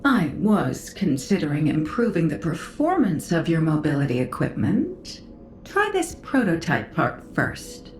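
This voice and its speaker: A woman playing a keen, appraising equipment researcher in a sci-fi video game, thoughtful and expressive in her technical talk. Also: low voice, a little slow, exaggerated voice. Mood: playful, encouraging. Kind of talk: scripted video game dialogue. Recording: roomy echo, faint music behind.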